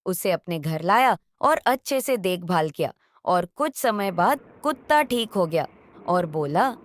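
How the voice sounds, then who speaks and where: A woman's voice: fairly low pitch, expressive, unhurried, no echo, studio quality. A woman telling a story as narrator, reading scripted narration. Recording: faint background noise.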